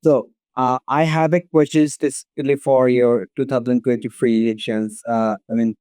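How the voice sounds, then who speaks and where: A man with a dark, smooth voice puts a formal question from an audience. He goes hesitantly, pausing often, in a dry recording. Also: medium pitch, little pitch movement, no room sound. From Bangladesh. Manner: hesitant, broken by pauses.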